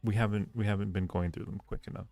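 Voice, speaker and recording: Low, creaky-voiced man chatting on stream. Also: fairly even intonation, quick pace, dark tone, dry recording. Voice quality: low-pitched, gravelly creak. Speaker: livestream host reading viewer chat. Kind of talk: casual livestream chat with viewers.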